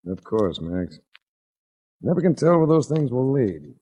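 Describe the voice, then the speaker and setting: An actor playing a suave, wry American private investigator in a 1940s radio detective drama, dryly wisecracking and narrating. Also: male voice, mid-range voice, sing-song, unhurried, warm tone, husky. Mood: dry, casual. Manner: dry, wisecracking. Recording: muffled 1949 radio recording.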